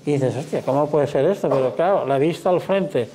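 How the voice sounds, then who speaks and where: A middle-aged man moderating a talk as club president, speaking in a measured, deliberate way. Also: on the high side, warm tone, slight background noise, poor audio. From Spain.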